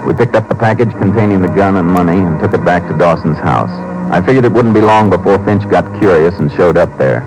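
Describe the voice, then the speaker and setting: An actor playing a calm, steady Texas Ranger with a terse, measured, authoritative way of talking in an old-time radio drama. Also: male voice, low-pitched, loud music behind the voice. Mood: matter-of-fact. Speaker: narrator and lead actor. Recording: noisy vintage radio drama recording.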